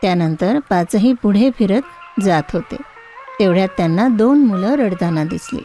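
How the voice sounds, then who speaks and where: A woman's voice: medium pitch, expressive, normal speed, warm tone. A woman narrating a scripted moral tale for children as a storyteller. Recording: music behind the voice. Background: background music.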